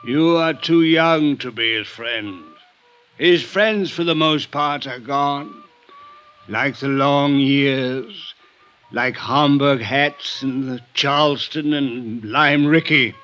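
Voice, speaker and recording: An actor playing a drunken, melancholy, eloquent older man with florid, halting speech in a 1950s radio drama. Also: medium pitch, a bit bright. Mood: melancholy, weary. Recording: dull 1950s radio recording, music behind.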